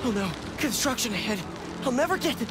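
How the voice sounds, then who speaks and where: Timid nerdy young guy, American accent, high swooping voice, stammering apologies, video game hero. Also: lively intonation, fairly quick, background noise. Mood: flustered, hurried. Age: young adult. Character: timid, earnest, nerdy young New York photographer.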